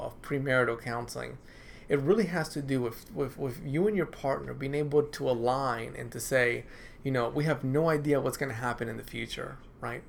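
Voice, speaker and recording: A man's voice: medium pitch, gravelly, slight background noise. A man who is a counselor, talking casually to camera from his office, often adding "right?" and repeating himself. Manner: conversational, repeats phrases, asks "right?" often. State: dry throat, clearing it. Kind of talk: informal talk to camera.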